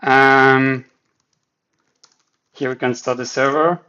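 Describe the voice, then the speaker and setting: Slow, hesitant French-accented man. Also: mid-range voice, level tone, sharp tone. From Switzerland. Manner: hesitant, many fillers, thinking aloud while working. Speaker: game developer streaming. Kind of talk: casual live dev stream. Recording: slightly dull lo-fi stream mic.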